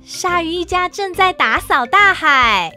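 A woman's voice: extremely high pitch, expressive, measured pace, bright, forceful tone, exaggerated voice. A woman playing a friendly storyteller, narrating a children's cartoon with a Taiwanese Mandarin accent. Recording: children's music behind.

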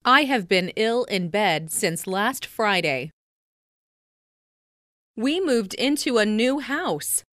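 A woman reads scripted English practice phrases in a clearly enunciated, instructional way. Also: mid-range voice, sing-song, slow, long pauses, fairly bright tone, no echo, clean studio sound. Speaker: language lesson narrator.

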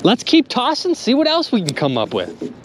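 A young man vlogging from a small boat on a river, exclaiming excitedly as he talks to his viewers. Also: very high voice, moderate pace, noisy background. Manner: animated, exclaiming, chatty with viewers. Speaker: magnet fishing vlogger. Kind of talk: excited casual narration to viewers.

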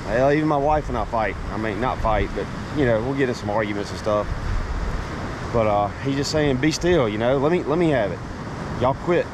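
A man's voice: mid-range voice, expressive, brisk. A man, talking casually and folksily to his viewers while fishing on a beach, recorded on a body-worn action camera. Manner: folksy, says y'all, talks while fishing. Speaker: fishing vlogger. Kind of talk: casual outdoor vlog. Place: ocean beach under a pier, outdoors. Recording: loud surf and wind noise.

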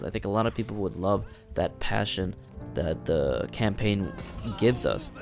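A young man talking loosely over gameplay, his low voice worn raspy and gravelly from a long session. He rambles in an easygoing way, full of fillers and restarts, with faint music underneath. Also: darkish tone. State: raspy, strained voice. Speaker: gaming commentator. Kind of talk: casual commentary over gameplay. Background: faint background music.

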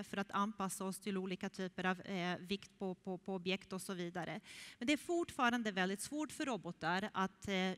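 Professor pausing with "eh" in a hall. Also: female voice, mid-range voice, fairly bright tone. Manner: unscripted, many "eh" pauses. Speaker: robotics professor, keynote speaker. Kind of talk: keynote talk. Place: large conference stage. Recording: echoey hall.

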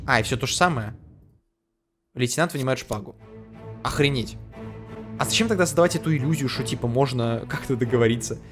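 A man's voice: medium pitch, a little fast, pauses often, fairly bright tone. A man hosting a let's play, reading the game text aloud and reacting in loose slang. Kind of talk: casual gameplay commentary. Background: game music.

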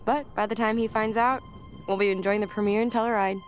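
A young woman playing a spirited expedition filmmaker, confiding in a casual, expressive diary for a video game. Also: mid-range voice, quick pace, soft background music, close to an everyday voice. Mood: exasperated, confiding. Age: young adult. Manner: conversational, candid, expressive.

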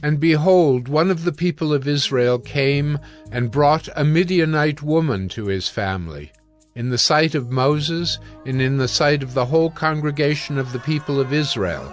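A man's voice: a little high, normal speed. Male scripture narrator over faint music.

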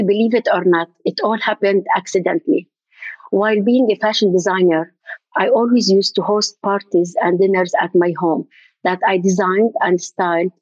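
An older woman from Jordan, a guest in an interview, speaking haltingly and searching for her words. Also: mid-range voice, dry recording, muffled recording. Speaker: event designer, guest. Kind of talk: interview conversation.

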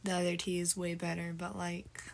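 A woman's voice: lowish voice, level tone, moderate pace, creaky, a little hiss or hum. A teenage girl chatting casually to her phone camera in her bedroom, rambling with lots of "like" fillers. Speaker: vlogger. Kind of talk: casual day-in-the-life vlog. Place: small bedroom and home, indoors. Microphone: phone camera held close.

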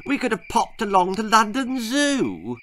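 Man playing a petulant young boy, grumpy, sneering, high-pitched, storybook. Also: sing-song, measured pace, sharp tone, slight background noise, exaggerated voice.

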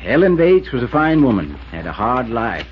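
An actor playing a laconic middle-aged small-town New constable, with a drawl and clipped folksy phrasing, in 1950s radio drama style. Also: male voice, medium pitch, big pitch swings, moderate pace, a little hiss or hum, lightly acted. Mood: matter-of-fact. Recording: muffled 1950s radio recording.

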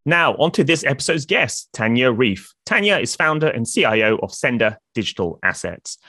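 A man's voice: medium pitch, normal speed, dry recording, clean studio sound. A man hosting a finance podcast, reading a promotional intro and then opening an interview. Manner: lively, promotional delivery. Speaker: podcast host. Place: indoor room, plain wall. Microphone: video call.

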